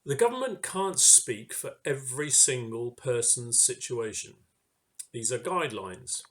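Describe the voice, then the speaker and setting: Guy doing an informal church reflection, hesitant, stops and starts, ordinary mid pitch. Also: big pitch swings, fairly bright tone. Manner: hesitant, halting, pausing mid-phrase. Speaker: church speaker giving a reflection. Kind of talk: informal spoken sermon reflection.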